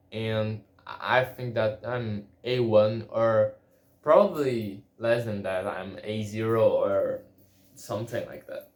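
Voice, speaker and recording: A man whose first language is Portuguese, talking casually in a solo vlog about learning a language, halting and restarting often. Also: lowish voice. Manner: halting, many restarts and fillers. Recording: echoey room.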